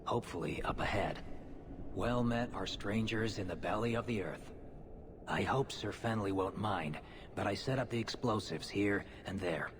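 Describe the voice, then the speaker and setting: Low gruff dwarf demolition man, blunt, fantasy game, big echo and noise. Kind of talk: video game voice acting. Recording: noisy, echoing cavern ambience.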